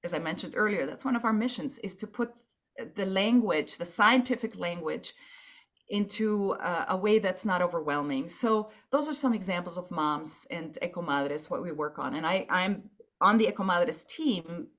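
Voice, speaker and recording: A woman's voice: fairly low pitch, normal speed, a bit bright, lo-fi sound. Woman speaking earnestly on a panel. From Colombia. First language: Spanish. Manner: earnest, with frequent restarts and repeated words. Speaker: environmental advocacy panelist. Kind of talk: conference panel talk.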